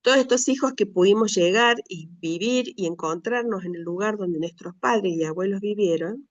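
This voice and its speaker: Argentine woman, middle aged, talking slow and earnest, bit muffled and dry. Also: mid-range voice, dry recording. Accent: Argentine Spanish. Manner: earnest, measured, with frequent pauses and restarts. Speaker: speaker, retired school director. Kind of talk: reflective personal talk.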